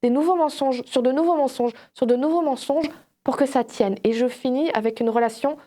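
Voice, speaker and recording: A woman's voice: high voice, a little fast. A woman speaking as a panelist in a casual Bible discussion, explaining things like a storyteller who acts out examples. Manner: animated storytelling, acts out imagined quotes. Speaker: panelist on a religious discussion show.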